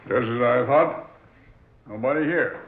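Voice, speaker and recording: A man's voice: mid-range voice, unhurried, slight background noise, natural voice. An actor playing a commanding, skeptical ship's captain who blusters and repeats his words in an old-time radio drama. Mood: puzzled. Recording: tinny, narrow-band old radio recording.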